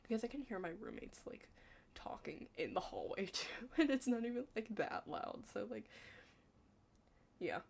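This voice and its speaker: A young adult woman doing an ASMR roleplay as a study partner, chatting casually in a hushed, halting, rambling way with lots of "like" and some whispering. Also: on the high side, sing-song, gravelly, slightly rough. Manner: rambling, halting, heavy use of "like".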